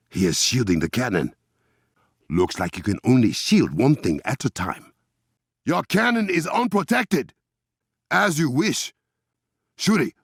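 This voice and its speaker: A stern proud warrior king for a game, african accent, really low husky voice, formal and commanding. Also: fairly bright tone, dry recording, studio quality, full character voice. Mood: cold, menacing, then urgent, shouting. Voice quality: gravelly, husky. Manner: measured, formal, authoritative diction. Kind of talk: video game voice acting.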